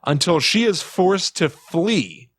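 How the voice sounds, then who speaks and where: A man's voice: sits high, animated, takes it slowly, slightly rough, dry recording. Man explaining things in casual chat, dry close recording. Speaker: podcast co-host.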